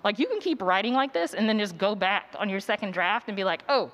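A woman's voice: mid-range voice, expressive, fairly quick, fairly bright tone. Woman presenting an informal workshop. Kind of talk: informal workshop teaching with audience questions. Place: conference session room with an audience.

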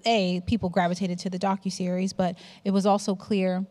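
A woman's voice: mid-range voice, little pitch movement, a little fast, warm tone. A woman who heads a company and hosts podcasts, speaking reflectively on a panel. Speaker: company CEO and podcast host. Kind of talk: panel discussion.

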